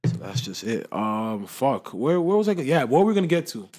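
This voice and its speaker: A man's voice: mid-range voice, very animated, brisk, darkish tone. A man in casual podcast chat, slangy and rambling, who laughs often. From Canada.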